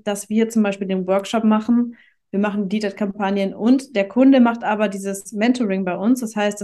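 A woman's voice: mid-range voice, mellow. A woman giving casual explanations as an interview guest, informally working through examples and adding tag questions. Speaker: interview guest, marketing company managing director.

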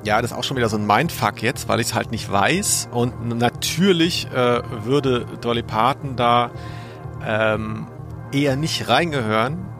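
A man's voice: medium pitch, a little slow, over music. A man co-hosting a podcast in casual chat, thinking aloud in a hesitant, self-deprecating way. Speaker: podcast co-host, quiz contestant.

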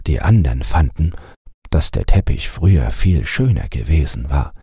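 A man with a low, very husky voice reads a children's bedtime tale. His delivery is expressive and unhurried, as a storyteller's. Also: no echo, studio quality. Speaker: audiobook narrator. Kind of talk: scripted bedtime fairy-tale narration.

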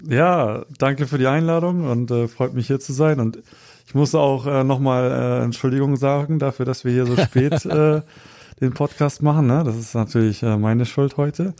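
Guy from hamburg on a podcast, low mellow gravelly voice, lots of ums. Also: normal speed. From Hamburg, Germany. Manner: hesitant, many fillers, self-deprecating. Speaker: podcast guest, street photographer. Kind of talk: casual interview chat.

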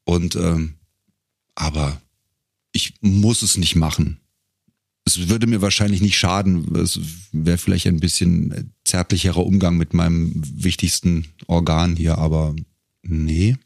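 A man's voice: sits low, steady tone, a little slow, gravelly, a little mellow, no echo, studio quality. A middle-aged man talking casually and reflectively as an interview guest, feeling his way through phrases. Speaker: guest, professional voice actor.

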